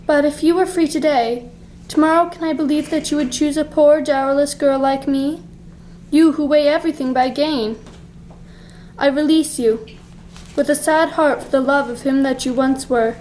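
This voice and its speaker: A woman playing a sorrowful, earnest young fiancée in a radio play, with formal, deliberate delivery. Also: high voice, fairly even intonation, a little mellow, slight background noise, lightly acted, low-quality recording. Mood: sad resolve. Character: sorrowful, principled young fiancée releasing her betrothed.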